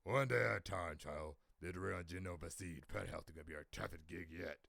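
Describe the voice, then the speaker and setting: A man's voice: low voice, a little fast, creaky, fairly bright tone, heavily acted. A man voicing a guarded, patient vigilante father who dotes on his child, in a comic dub.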